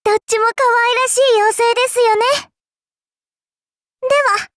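Very high-pitched, sly little fairy girl. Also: fairly even intonation, moderate pace, fairly bright tone, no echo. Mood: coolly composed. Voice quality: cutesy, girlish. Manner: polite, sweetly teasing, faintly condescending. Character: childlike, cute, sly fairy-like girl, politely mischievous. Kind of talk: Japanese mobile game character voice lines.

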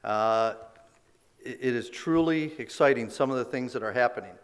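A hoarse middle-aged man giving an award acceptance speech at a podium microphone, working through prepared remarks with small restarts and fillers. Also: mid-range voice, normal speed. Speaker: company leader accepting a business award. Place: large indoor banquet hall stage. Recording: long reverb of a big banquet hall.

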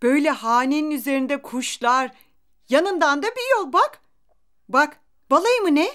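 An actress playing a chatty, excitable young house maid who reads coffee grounds for fortunes. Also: female voice, high voice, moderate pace. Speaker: household servant.

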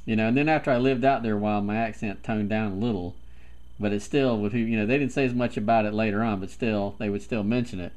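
A man's voice: lowish voice, fairly even intonation, fast talker, darkish tone. A man from South Carolina with a Southern accent, chatting casually and laughing easily. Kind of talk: casual chat over CB radio. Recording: radio reception.

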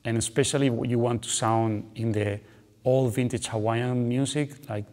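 A man, explaining guitar technique in a relaxed, chatty way, often ending phrases with "okay" and "you know". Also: medium pitch, normal speed. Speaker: guitar teacher. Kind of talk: instructional explanation between playing. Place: dark studio, seated with guitar on lap. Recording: long reverb, guitar playing between phrases.

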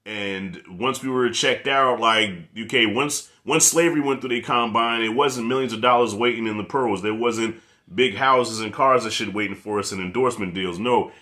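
A man in his thirties with a New York accent, reviewing a show on camera from his living room. He rants in a casual, loose way, swearing freely and talking with his hands. He speaks into a desk mic set up right in front of him. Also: fairly low pitch. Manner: profane, animated, talks with his hands. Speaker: movie reviewer.

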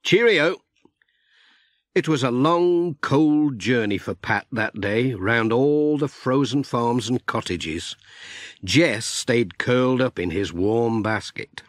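A man narrating a children's story audiobook in an expressive storytelling manner. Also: medium pitch, slow pace, no room sound, studio quality.

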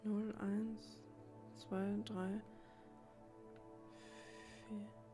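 A woman's voice: medium pitch, little pitch movement, drawn-out words, warm tone. A woman giving casual let's play commentary, thinking aloud and muttering to herself in a puzzled way as she works things out. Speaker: let's player, channel host. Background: game music.

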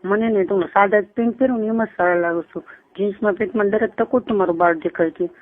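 A woman's voice: medium pitch, fast. A woman with a Kathiawari Gujarati accent, chatting casually and privately on the phone. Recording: tinny phone call recording.

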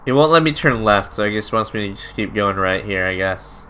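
A man's voice: on the low side, animated, brisk, bright, forceful tone, a little hiss or hum. A man giving casual, emotional let's play commentary, gushing as he reacts to the game. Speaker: gaming YouTuber.

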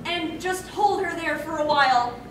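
A woman's voice: high-pitched, normal speed. A young woman hosting a fan panel, reading fan fiction aloud in a theatrical, giggly way, with joking asides. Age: young adult. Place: convention panel room stage. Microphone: far camera. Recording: distant camera in echoey noisy hall.

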